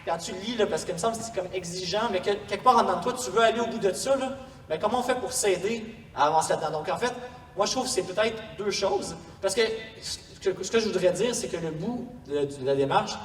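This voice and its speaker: A man's voice: sits high, fast talker. A man with a Quebec French accent giving an informal, explanatory workshop presentation in a conversational way. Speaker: parish pastoral coordinator, workshop speaker. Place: large echoey room before an audience. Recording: distant mic, echoey hall, faint noise.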